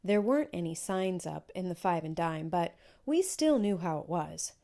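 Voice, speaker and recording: A woman's voice: fairly low pitch, animated, normal speed, gravelly, warm tone. A woman narrating a children's storybook in a scripted read-aloud.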